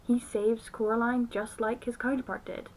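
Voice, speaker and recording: A woman delivers scripted film review commentary. Her voice sits fairly high as she talks through her points as a reviewer. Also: level tone, moderate pace, darkish tone, a little hiss or hum, poor audio. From outside the United States.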